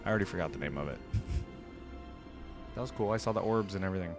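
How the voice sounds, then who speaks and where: A man's voice: sits low, fast talker, music in the background. A man hosting a reaction video, musing and thinking aloud in casual commentary.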